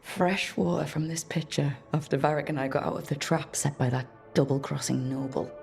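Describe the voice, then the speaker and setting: A woman playing a resolute, wry young adventurer in a video game, musing to herself in an unhurried, reflective way. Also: sits low, fairly even intonation, vocal fry, a little mellow, soft background music. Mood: wistful, reflective.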